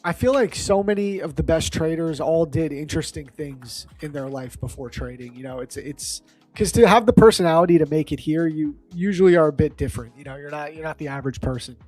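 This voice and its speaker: A man's voice: fairly high pitch, fairly quick, a little mellow, soft background music. A man of thirty-one in casual chat as a stream co-host, informal and agreeable with easy slang. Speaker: live trading stream co-host.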